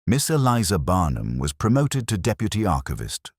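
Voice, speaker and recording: A man narrating a Victorian detective story as a scripted, dramatic audiobook, in a measured, literary manner. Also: low voice, huge pitch swings, breathy edge, dry recording, studio quality.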